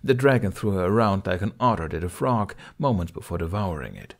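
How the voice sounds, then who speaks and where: A man reading fiction aloud as an audiobook narrator, with expressive scripted delivery. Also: sits low, brisk.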